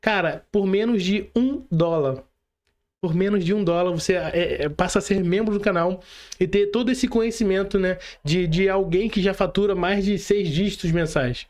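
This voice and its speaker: A man's voice: high-pitched, normal speed, a little mellow. A Brazilian man speaking casually as a podcast host, in informal, slangy talk addressed straight to his listeners. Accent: Brazilian Portuguese.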